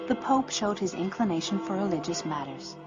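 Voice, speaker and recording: A woman's voice: medium pitch, expressive, brisk. A woman reading scripted narration in a storybook biography style. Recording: dull sound, loud music behind.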